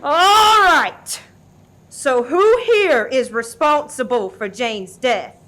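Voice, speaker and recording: Woman playing a bossy, sharp-voiced diner boss. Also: high-pitched, lively intonation, takes it slowly, exaggerated voice. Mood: alarmed, defiant. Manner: commanding, emphatic. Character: bossy, no-nonsense Southern diner worker, armed and defiant. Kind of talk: farcical radio play acting.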